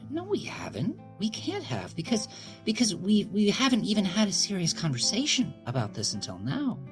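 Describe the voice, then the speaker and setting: Man voicing hurt, pleading wife, pitched up. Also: wildly swooping pitch, soft background music, exaggerated voice. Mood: pleading, upset. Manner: halting, repeating words. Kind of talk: dramatic audio fiction dialogue.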